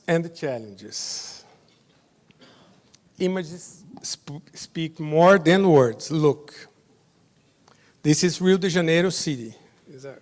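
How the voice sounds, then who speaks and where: A Brazilian judge whose first language is Portuguese, giving a conference presentation in halting English with short, simple phrases. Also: male voice, on the high side. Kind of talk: slide presentation at a summit. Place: large hotel conference hall. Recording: long reverb of a big hall.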